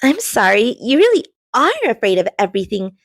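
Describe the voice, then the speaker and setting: A woman's voice: on the high side, very animated, a little fast, gravelly, no room sound, studio quality, exaggerated voice. A woman reading aloud and voicing an eager, bubbly young girl who loves pink. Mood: earnest.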